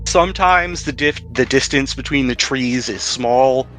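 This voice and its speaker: A man's voice: mid-range voice, unhurried, fairly bright tone. A man narrating as game master of a tabletop game among friends, informal and jokey, swearing casually. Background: music.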